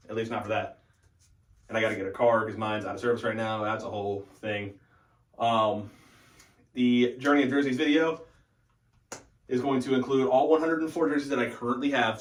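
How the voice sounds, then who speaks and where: A man's voice: mid-range voice, a bit bright. A young adult man giving a casual, informal update as the host of a hobby channel, thinking aloud as he goes. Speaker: host of a hockey fan channel. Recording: echoey room, distant from the mic.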